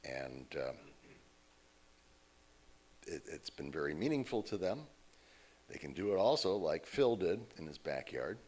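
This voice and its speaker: An American man speaking as a guest at a church service, telling stories in a conversational way. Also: on the low side. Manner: conversational, storytelling with quoted voices. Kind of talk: informal talk from the pulpit.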